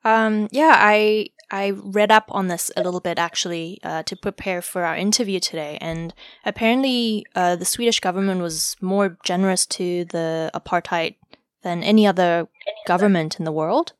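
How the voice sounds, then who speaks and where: A woman interviewing a guest, asking curious questions in a gently encouraging way. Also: on the low side, moderate pace, smooth, dry recording, clean studio sound.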